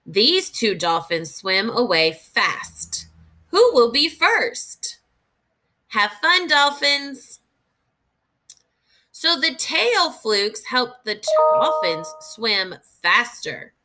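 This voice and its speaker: A woman reading aloud in an expressive, instructive way, as a teacher does for young children, pausing to think through questions. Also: a little high, slight background noise.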